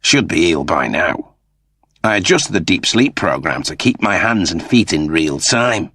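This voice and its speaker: A man's voice: sits low, sing-song, normal speed, fairly bright tone, breathy edge, no room sound, clean studio sound, full character voice. A male narrator voicing a serious, guarded and menacing male space crewman in a sci-fi audiobook, speaking tersely. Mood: cold, serious.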